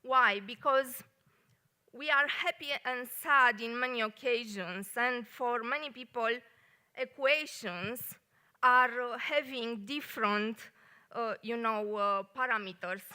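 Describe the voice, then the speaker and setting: A woman from Romania with a Romanian accent, an engineer giving a short live talk at a café event, speaking carefully with many pauses. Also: fairly high pitch, sharp tone, clear, resonant tone. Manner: careful non-native phrasing with many pauses.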